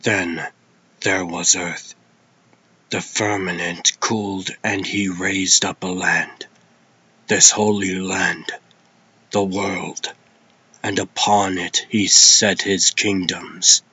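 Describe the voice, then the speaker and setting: A man playing a humble young warrior recruit in a comic dub, husky and breathy, halting and reverent. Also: low-pitched, flat delivery, bright, forceful tone, highs cut off, heavily acted. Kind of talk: theatrical comic dub acting.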